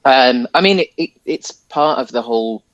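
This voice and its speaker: A man's voice: medium pitch, expressive, normal speed, dry recording, highs cut off. A man co-hosting a podcast, casually discussing a film in a hesitant, rambling way. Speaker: podcast co-host.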